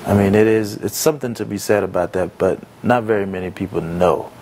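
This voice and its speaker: A young man born in Haiti and raised in America, answering interview questions in an earnest, reflective way with frequent pauses and fillers. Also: fairly low pitch, darkish tone, slight background noise. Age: young adult. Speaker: entrepreneur interviewee.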